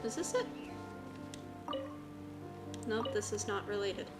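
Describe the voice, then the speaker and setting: A woman's voice: mid-range voice, animated, slow pace. A woman streamer giving casual running commentary while she plays a video game. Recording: loud game music behind. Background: game music and dialogue.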